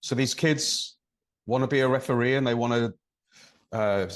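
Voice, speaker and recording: A man's voice: mid-range voice, steady tone, moderate pace. An English man, a referee and podcast co-host, giving long thoughtful explanations in casual conversation. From England. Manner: thoughtful, explains at length with examples.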